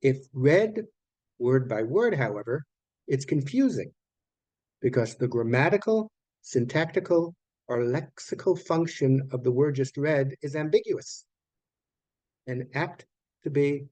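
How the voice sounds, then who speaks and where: A man teaching an online class in an informal lecture style, explaining things haltingly and often correcting himself mid-sentence. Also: mid-range voice, expressive, a little mellow, dry recording. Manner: halting, explanatory, with repeated phrases and self-corrections. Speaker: online course teacher of academic English writing.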